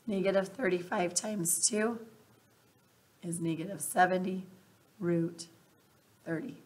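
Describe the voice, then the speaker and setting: Husky-voiced woman teacher talking students through math. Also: lowish voice. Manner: patient step-by-step explaining, addresses students directly. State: scratchy voice. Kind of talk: recorded math lesson over worksheet. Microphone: webcam bubble over screen recording.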